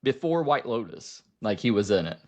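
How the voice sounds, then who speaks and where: A man co-hosting a podcast, chatting casually about film and TV in a halting, rambling way. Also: fairly low pitch, very animated, a little mellow, highs cut off. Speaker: podcast co-host.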